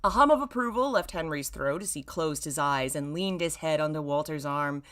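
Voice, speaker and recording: A woman in her thirties with a low-pitched voice reads fiction aloud on a stream. She leans theatrically on the descriptive words, then drops into wry, self-mocking commentary. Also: normal speed, a bit bright. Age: 30s. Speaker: streamer reading own old fan fiction. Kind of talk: live story reading with wry asides.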